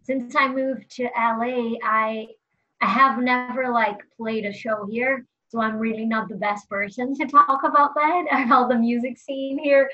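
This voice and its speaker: A woman's voice: a little high, fairly even intonation, unhurried, sharp tone. A young woman from Brazil, a musician being interviewed, talking casually with lots of fillers and little laughs. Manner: chatty, frequent "like" fillers, laughs while talking. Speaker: musician guest. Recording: slightly dull lo-fi sound.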